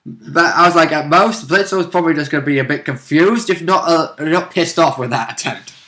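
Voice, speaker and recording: A man's voice: fairly high pitch, a little fast, bright, forceful tone, a little hiss or hum. A man in a casual chat about a tabletop game, commenting wryly as a player and co-host.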